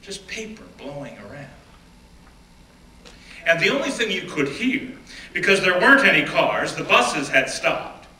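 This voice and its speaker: A man giving a first-person dramatic reading of an oral history, measured and deliberate, often dropping to hushed phrases. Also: high-pitched, big pitch swings. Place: stage or event room. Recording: roomy, distant mic with faint background noise.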